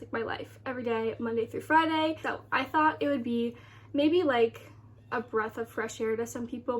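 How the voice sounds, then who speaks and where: An American woman in her early twenties chatting casually to viewers as a vlogger, rambling along with a slightly congested, nasal voice. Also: fairly high pitch. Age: early 20s. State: congested with sore throat. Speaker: lifestyle vlogger.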